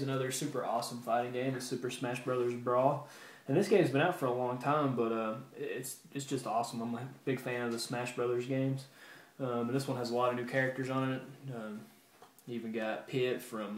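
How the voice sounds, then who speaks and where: A man talks casually to his viewers in a roomy, echoing space with faint noise behind him. His delivery is laid-back and rambling, with a halting flow and a mid-range pitch, like a collector showing off his shelf at home. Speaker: video game collector vlogger. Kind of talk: casual show-and-tell update to viewers. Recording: roomy echo with background hiss.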